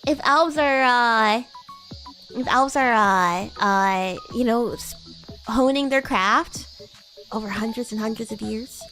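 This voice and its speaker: A woman puts on a young female demon classmate with a high, girlish voice. She is bratty, playful and teasing, rambling in a loose livestream roleplay style. Faint music plays behind her. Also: slow, a bit bright, rough recording. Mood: musing. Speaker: VTuber streamer. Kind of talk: improvised in-character roleplay livestream chat.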